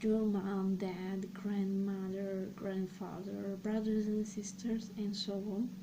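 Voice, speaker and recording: Slow, halting young woman vlogger. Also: medium pitch, little pitch movement. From Serbia. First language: Slovak and Serbian. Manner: slow, halting, thinking aloud with many pauses. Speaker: language channel host. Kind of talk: casual personal vlog talk. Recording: clear background noise.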